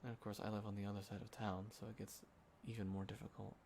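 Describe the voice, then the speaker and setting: Low-voiced, sentimental young man played by an actor. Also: level tone, close to an everyday voice. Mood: wistful, tender. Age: young adult. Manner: casual, halting, soft-hearted rambling. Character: sentimental young man catching up with a missed close friend. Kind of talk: intimate conversational roleplay audio acting. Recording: clear background ambience noise. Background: quiet city ambience.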